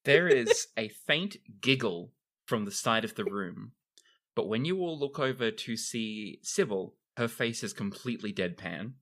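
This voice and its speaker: A woman's voice: extremely low pitch, animated, unhurried. A woman improvising roleplay banter and narration, exclaiming in disbelief and dropping in explanatory asides. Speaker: tabletop roleplayer on a podcast.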